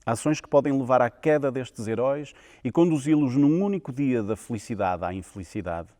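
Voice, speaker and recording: Male narrator, European Portuguese accent, dark relaxed tone, scripted educational read, long reverb. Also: medium pitch, brisk.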